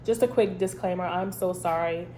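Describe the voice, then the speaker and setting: A woman's voice: lowish voice, normal speed, dark tone, a little hiss or hum. A woman with a head cold and a stuffy, congested voice, chatting casually as host of a review vlog with lots of ums. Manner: chatty, rambling, many ums. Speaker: candle review channel host.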